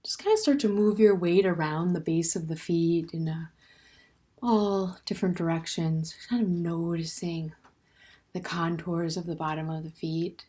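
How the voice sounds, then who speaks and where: Gentle woman guiding a meditation. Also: fairly low pitch. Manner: gentle, unhurried, permissive phrasing with pauses. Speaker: meditation teacher. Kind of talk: guided standing meditation.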